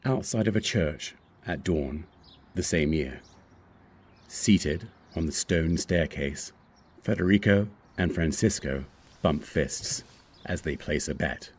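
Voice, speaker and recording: A man's voice: very deep voice, slow pace, darkish tone, breathy edge. A man reading screen directions aloud as scripted narration for a screenplay.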